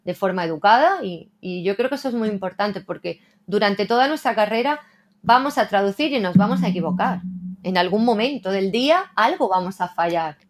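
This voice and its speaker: A woman's voice: mid-range voice, lively intonation, brisk. A woman translator talking reflectively in a casual interview, often tagging her sentences with a questioning "¿no?". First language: Spanish. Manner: reflective, frequent "¿no?" tags.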